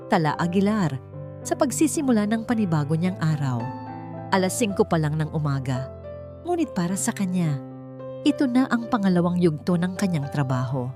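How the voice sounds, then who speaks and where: A woman with a Filipino accent narrating a scripted story in a measured, dramatic way. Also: lowish voice. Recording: dry studio voice over background music. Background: background music.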